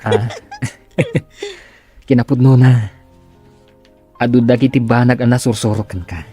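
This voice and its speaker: A man's voice: medium pitch, steady tone, unhurried, dark tone, natural voice. An actor with a northern Philippine accent playing a moody, weary male patient with a subdued, dry manner in a radio drama. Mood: dryly joking. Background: music.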